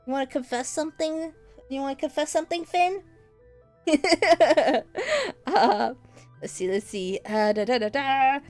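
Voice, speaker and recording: A woman's voice: sits high, a little slow, pauses often, darkish tone, soft background music. Playful, giggly woman streamer. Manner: playful, teasing, laughs often, says y'all. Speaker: livestreamer. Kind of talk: casual stream chat with viewers.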